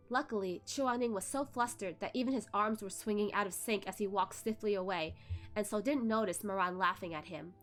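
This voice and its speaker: A woman streamer reading a web novel aloud, narrating with animated, giggly asides. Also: mid-range voice. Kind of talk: read-aloud narration with live reactions.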